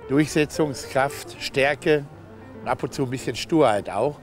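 A German man calmly explaining, with a few pauses and hesitations, in an outdoor interview. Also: mid-range voice. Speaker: showman, market organizer. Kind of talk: casual interview answers. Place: outdoor Christmas market. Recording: outdoor market with loud music. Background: music.

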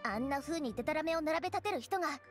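A woman's voice: high-pitched, expressive, rapid-fire, over music. An actress playing an earnest, righteous young female reporter in a game, speaking eagerly and emphatically. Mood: righteous indignation. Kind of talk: Japanese game voice acting.

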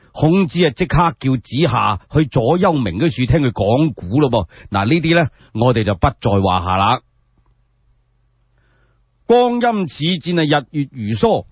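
A man with a Cantonese accent telling a story in an expressive, colloquial radio storytelling style. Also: fairly high pitch, husky, no echo, dull audio. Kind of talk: animated solo radio storytelling.

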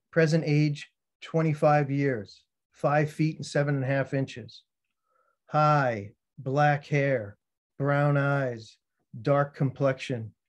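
An American man with a mid-range voice reads as a poet at a literary event. His delivery is deliberate and phrase by phrase, as one presenting his own poems. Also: mid-range voice. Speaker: poet reading own work. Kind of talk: poetry reading with spoken introductions.

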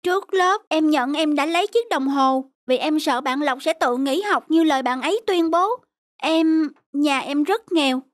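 A woman's voice: high-pitched, moderate pace, dry recording, studio quality, close to an everyday voice. A woman voicing a poor, humble schoolboy in a Vietnamese cartoon, speaking earnestly and hesitantly. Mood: sad, resigned.

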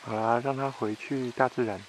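A man's voice: medium pitch, fairly even intonation, moderate pace. A young man with a Taiwanese Mandarin accent chatting casually as he films a travel vlog outdoors. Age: young adult. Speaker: travel vlogger. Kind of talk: casual chat. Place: outdoors at a forest waterfall pool. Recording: noisy outdoor handheld camera audio.

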